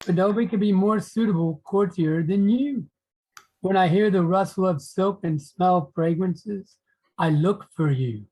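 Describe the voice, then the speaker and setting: A man playing a devoted, admiring gentleman poet in an online play reading, gentle and formal. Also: sits high, low-quality recording.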